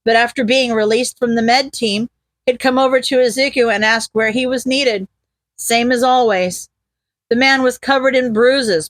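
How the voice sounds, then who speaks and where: Woman, high voice, expressive scripted story narration, dry close recording. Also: dry recording. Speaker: podfic narrator.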